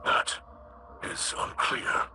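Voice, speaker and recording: A man voicing a solemn, patient alien leader in a video game, with a breathy, rasping voice and formal, measured phrasing. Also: noisy background. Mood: grave, patient. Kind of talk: video game cutscene acting.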